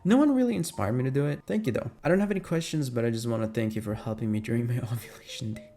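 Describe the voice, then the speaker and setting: A man's voice: medium pitch, rapid-fire, mellow, soft background music. A man with Tagalog as his first language, chatting casually and candidly as an audio creator answering fan questions, playful and free with swearing. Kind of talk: casual Q&A chat.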